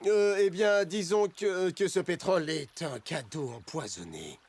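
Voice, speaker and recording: A woman voicing a hesitant, good-natured father who tries to calm his children, in a cartoon. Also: fairly low pitch, unhurried. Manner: hesitant, stammering, peacemaking. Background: background music.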